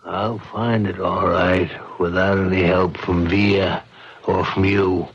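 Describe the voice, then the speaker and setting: A gruff American man of middle age in an old radio mystery. His voice sits very low and moves slowly, curt and greedy, with a dull, muffled recording. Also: little pitch movement, heavily acted. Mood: pressing, irritated. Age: middle-aged. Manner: curt, surly. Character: gruff, greedy, impatient widowed father, a murder suspect. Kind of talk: radio mystery drama acting.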